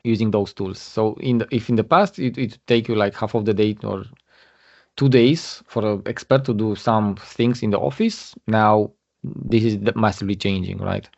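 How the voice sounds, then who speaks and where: Halting man presenting over a muffled call. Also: lowish voice, creaky, darkish tone. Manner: halting, many fillers and restarts. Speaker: guest speaker. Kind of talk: webinar presentation. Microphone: video call. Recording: muffled lo-fi online call audio.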